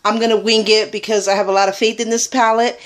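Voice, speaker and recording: A woman's voice: a little high, flat delivery, fast talker. A Puerto Rican woman in her thirties, chatting her way through a casual makeup tutorial into a webcam in a small room, with plenty of ums. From Puerto Rico. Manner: chatty, rambling, filler-heavy. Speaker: beauty vlogger. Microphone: webcam at arm's length.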